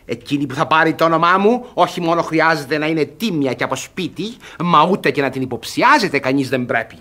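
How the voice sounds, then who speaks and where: An actor playing a suspicious, gloating middle-aged guardian in a classic radio theatre comedy, blustering and fussy. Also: male voice, on the high side, expressive, sharp tone. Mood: indignant.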